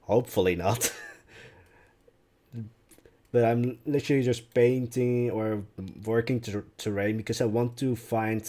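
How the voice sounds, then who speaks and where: A young Dutch-speaking man talks in English at an unhurried, drawn-out pace while he builds on a live stream. His voice sits low and mellow. He muses aloud and answers viewers' questions as they come. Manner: relaxed, musing aloud, answers chat questions.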